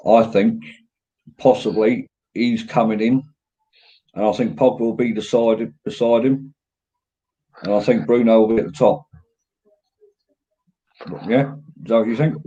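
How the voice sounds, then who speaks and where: Very deep-voiced southern English man, muffled sound. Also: steady tone, measured pace, pauses often, dark tone, husky. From England. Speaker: host of a football fan show. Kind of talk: casual fan discussion, reading viewers' comments. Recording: dull, lo-fi audio.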